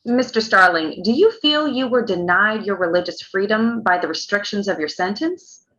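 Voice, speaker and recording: A woman's voice: medium pitch, normal speed, fairly bright tone, lightly acted. A woman playing a skeptical news reporter in a screenplay table read, asking pointed questions. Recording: dull lo-fi online audio.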